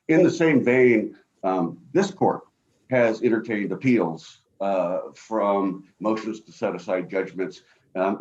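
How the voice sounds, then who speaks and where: A man with a booming voice arguing an appeal as an attorney over a video call from home, halting and full of fillers. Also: darkish tone, highs cut off. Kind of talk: appellate oral argument. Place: home office.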